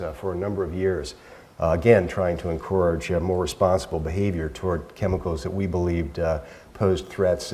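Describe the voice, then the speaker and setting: A middle-aged man, soft-spoken and hesitant with many fillers and restarts, giving a keynote lecture as a professor in a lecture hall on a handheld mic. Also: sits low. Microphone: handheld microphone.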